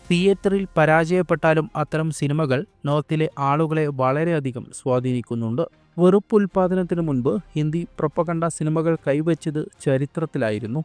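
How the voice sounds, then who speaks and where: A man delivers scripted video essay narration in a smooth, resonant and fairly high voice. He explains things in a measured, expository way. Soft music plays underneath him. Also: no room sound. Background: faint background music.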